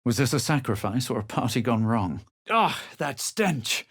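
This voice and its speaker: An actor playing a haughty, self-assured young male fantasy adventurer in a video game, speaking in a measured, superior, literary way. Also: medium pitch, lively intonation, a bit bright, husky, no room sound, studio quality. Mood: dry, wry. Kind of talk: scripted game voice lines, narration and quips.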